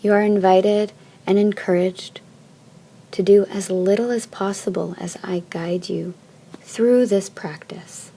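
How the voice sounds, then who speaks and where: Soft, mellow woman guiding deep relaxation. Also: slight background noise. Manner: soft, soothing, measured phrasing with long pauses. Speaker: yoga nidra teacher. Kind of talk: guided meditation, slow instruction.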